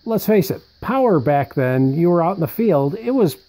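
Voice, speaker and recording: A man's voice: fairly high pitch, sing-song, normal speed, warm tone. A man giving a casual, unscripted show-and-tell as presenter, rambling conversationally and thinking aloud. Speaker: presenter showing vintage military radio equipment.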